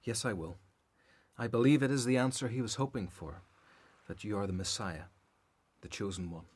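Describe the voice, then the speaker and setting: An actor playing a sombre, loyal messenger in a radio Bible drama, speaking gravely and earnestly. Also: male voice, on the low side, normal speed. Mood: grave, hopeful.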